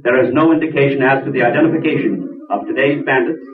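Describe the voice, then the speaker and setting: An actor playing a formal, grave radio newscaster delivering a declamatory bulletin in a 1940s drama. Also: male voice, mid-range voice, fast, close to an everyday voice. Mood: grave, urgent. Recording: distant, echoey, tinny old radio bulletin.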